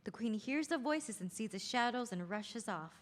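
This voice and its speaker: A woman reads stage directions for a play's table read, announcing each scene in a plain, even way. Her voice sits slightly high, and there is a lingering reverb around her. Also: normal speed.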